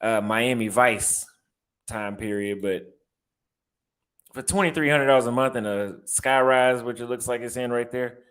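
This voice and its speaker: A man's voice: medium pitch, unhurried, a bit bright. A young man with a Texas accent, chatting casually in a vlog and reacting aloud as he browses apartment listings, repeating his phrases. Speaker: vlogger and barber.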